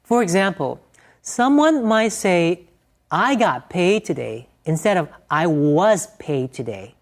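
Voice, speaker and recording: Woman narrator, low voice, very animated scripted read. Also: takes it slowly. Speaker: segment narrator.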